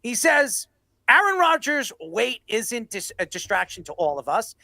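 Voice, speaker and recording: Creaky-voiced, emphatic sports talk host. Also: medium pitch, big pitch swings, measured pace, bright, forceful tone, no echo. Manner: emphatic, declamatory. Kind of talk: opinionated sports commentary.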